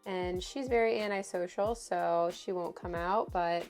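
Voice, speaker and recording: A woman giving a casual, enthusiastic tour of her pet room, her voice a little hoarse. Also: lowish voice, unhurried, darkish tone, soft background music. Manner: chatty and enthusiastic, with little laughs. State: slightly hoarse, recovering voice. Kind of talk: casual home tour vlog.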